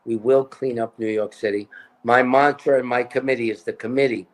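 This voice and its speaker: A man's voice: medium pitch, fairly even intonation, brisk. A man talking as a political candidate in a casual interview, emphatic and repeating his points.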